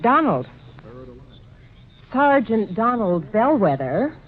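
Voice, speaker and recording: Teasing sitcom wife, high, animated voice, tinny old radio sound. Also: female voice, takes it slowly, slight background noise. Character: teasing, needling wife of a sergeant. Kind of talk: old radio comedy sketch acting. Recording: thin, phone-like vintage radio recording.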